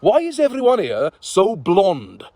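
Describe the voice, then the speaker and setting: A man voicing a brash, bewildered ancient king who shouts and demands. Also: high voice, wildly swooping pitch, measured pace, no echo, voice pushed up, exaggerated voice. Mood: demanding.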